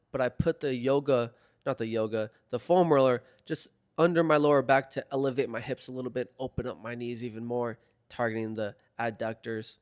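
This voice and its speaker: A young man talking through a foam roller routine in a relaxed, chatty voiceover, his voice a little hoarse as he recovers from being sick. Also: medium pitch. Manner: relaxed, chatty, filler-heavy. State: slightly hoarse from recovering from illness. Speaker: fitness vlogger. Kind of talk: casual instructional voiceover. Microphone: voiceover mic.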